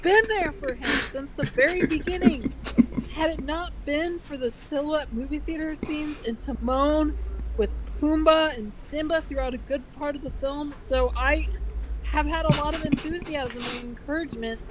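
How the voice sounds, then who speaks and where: A woman's voice: sits high, normal speed, low-quality recording. A woman reading aloud casually and comedically, breaking off for sarcastic, sweary commentary and drawling words for emphasis. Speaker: co-host of a comedic dramatic fanfiction reading. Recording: unevenly balanced amateur audio, crowd-like background noise.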